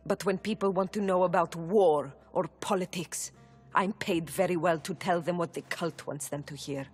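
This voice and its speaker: An actress playing a frightened oracle in a video game, urgent and pleading. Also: female voice, fairly low pitch, moderate pace, darkish tone, slightly rough, soft background music. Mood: confiding. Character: Pythia, frightened woman oracle under threat.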